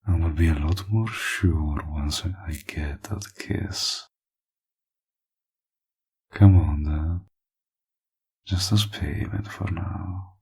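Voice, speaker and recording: Deep, husky villain flirting slowly, played by a man. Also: darkish tone, exaggerated voice. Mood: flirtatious, coaxing. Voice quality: husky, gravelly. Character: flirtatious, possessive villain from an evil organization, teasing and smug. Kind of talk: intimate roleplay audio, spoken to the listener.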